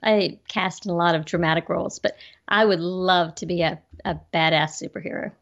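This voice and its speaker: Bubbly actress on a call. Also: female voice, lowish voice, fairly even intonation, brisk, creaky, a bit bright, dull audio. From Georgia, US. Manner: bubbly, self-deprecating, laughs often. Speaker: actress and voiceover artist, guest. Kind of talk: casual interview chat.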